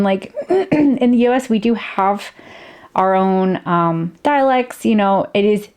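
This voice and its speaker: A woman's voice: mid-range voice, a little mellow. A woman giving casual reaction commentary, rambling with many restarts and fillers. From United States. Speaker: YouTuber reacting to a video.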